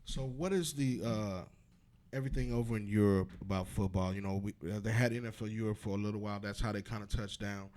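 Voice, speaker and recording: A man's voice: lowish voice, steady tone, fast talker, a little mellow. Upbeat man, show host, casual sports interview. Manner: upbeat, hyping guests up.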